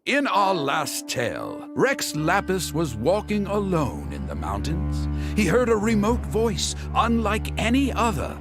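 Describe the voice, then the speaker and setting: A theatrical teller of old legends in a video game. His voice is fairly high and swings dramatically in pitch, with loud music behind it. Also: measured pace, vocal fry, hardly any pauses, full character voice. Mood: dramatic, storytelling. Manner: theatrical, expressive. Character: male storyteller recounting an old legend, theatrical. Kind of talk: scripted storytelling narration in a video game. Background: loud background music.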